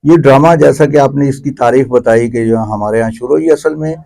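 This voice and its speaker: A man's voice: mid-range voice, gravelly, dark tone. A man with a Pakistani accent giving explanatory answers as a guest commentator in an interview.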